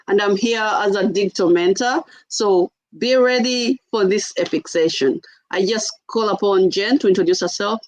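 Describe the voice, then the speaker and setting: A woman's voice: mid-range voice, dry recording, low-quality recording. A woman hosting an online panel on a video call, upbeat and welcoming as she introduces the speakers. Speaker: host and mentor.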